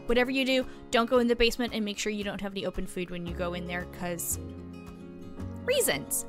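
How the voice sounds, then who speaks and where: A woman's voice: medium pitch, fairly quick, music in the background, lightly acted. A woman playing a chatty, helpful small-town reporter, friendly and explanatory, in a tabletop roleplaying game. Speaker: game master.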